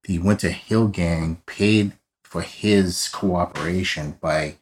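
A man's voice: low-pitched, slow. A man in his forties with a Boston accent, hosting a true crime podcast in a dry, matter-of-fact way with wry asides. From South Boston, USA. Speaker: podcast host, private investigator. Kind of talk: scripted podcast narration.

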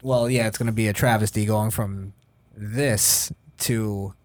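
A man's voice: fairly low pitch, steady tone, a little slow. A man co-hosting a podcast, steering the casual chat and giving his opinions bluntly. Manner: opinionated, leads topics. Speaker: podcast co-host.

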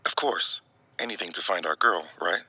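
A man's voice: deep voice, moderate pace, bright, forceful tone, no echo, heavily acted. A young man playing an earnest, easygoing friend on a call in an audio drama, talking casually with slang. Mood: hopeful. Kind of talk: naturalistic audio drama acting.

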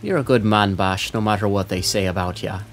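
Man as a low-voiced, streetwise police sergeant. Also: a little fast, soft background music, close to an everyday voice. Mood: wry, friendly. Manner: streetwise, no-nonsense, slangy. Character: brusque, wisecracking veteran police sergeant. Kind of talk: noir audio drama acting.

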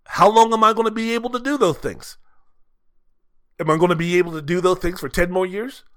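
A man's voice: high voice, a little fast. A man hosting a sports podcast solo, giving opinionated commentary in an emphatic, theatrical way, with rhetorical questions and repeated phrases.

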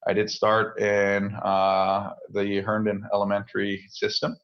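A man in his thirties, a native French speaker who grew up in the United States near Washington DC, answering interview questions in a relaxed, measured way with many filler pauses. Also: fairly low pitch, dull audio. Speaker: podcast guest. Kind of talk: conversational interview. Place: home setup abroad, remote call.

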